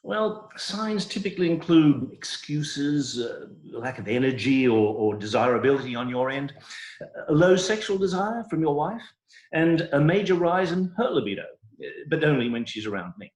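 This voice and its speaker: A man's voice: a little high, big pitch swings, moderate pace, darkish tone. Man playing smug, mock-solemn doctor, echoey room. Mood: clinical, smug. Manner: hesitant, mock-solemn clinical delivery. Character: smug male doctor, gravely sympathetic yet shameless. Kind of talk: comic play reading. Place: room, on a video call. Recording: echoey room over video call.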